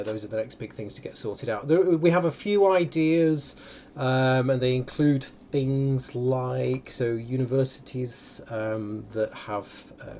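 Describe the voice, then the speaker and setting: A man's voice: mid-range voice, a little mellow. Casual male host for an online Q&A, mid-range voice, hesitant delivery that circles back, noise on the line. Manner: hesitant, halting, circles back and repeats phrases. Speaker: film-maker hosting a live online question session. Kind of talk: casual unscripted question-and-answer talk. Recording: clearly noisy live stream audio.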